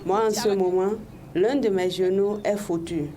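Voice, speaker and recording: A woman's voice: mid-range voice, steady tone, unhurried, mellow. A woman, a local resident testifying in short colloquial sentences. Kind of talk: testimony to news camera. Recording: crowd chatter around the speaker.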